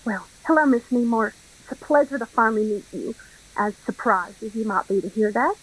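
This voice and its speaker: A woman's voice: on the high side, brisk, slight background noise, natural voice, rough recording. A woman playing a smug, scheming Southern crime boss in a radio play, with an effusive, mock-genteel drawl. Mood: smug courtesy.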